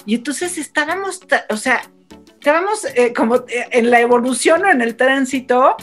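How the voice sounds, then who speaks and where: A woman, a radio broadcaster telling stories in a casual panel chat, animated and full of quick restarts. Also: sits high, soft background music.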